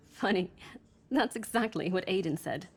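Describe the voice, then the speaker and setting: An actress playing a composed adult heroine in a fantasy video game. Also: female voice, medium pitch, expressive, a little slow. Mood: amused. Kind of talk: game dialogue acting.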